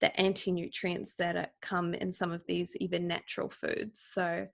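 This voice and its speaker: A woman's voice: on the low side, completely flat delivery, normal speed, gravelly. A young woman from New Zealand with a New Zealand accent, hosting a solo health podcast in an upbeat, gushing, casual way with some fillers. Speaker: podcast host.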